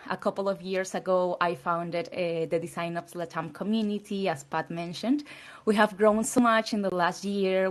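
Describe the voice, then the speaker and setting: Peruvian woman speaking English with Latin American Spanish accent. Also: mid-range voice. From Peru. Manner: earnest, with slight non-native phrasing. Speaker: conference speaker. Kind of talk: presentation talk.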